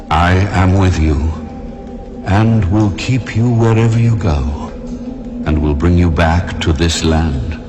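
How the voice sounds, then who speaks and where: A very deep divine voice in a dramatized scripture production. He is majestic and solemn, declaring slowly and with authority, with music clearly playing behind. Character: God of the Bible, solemn, commanding, majestic.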